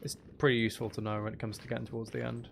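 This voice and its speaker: A man's voice: mid-range voice, little pitch movement, very fast, gravelly, fairly bright tone, slight background noise. A man giving casual gameplay commentary, rambling and thinking aloud to himself as a gaming video host.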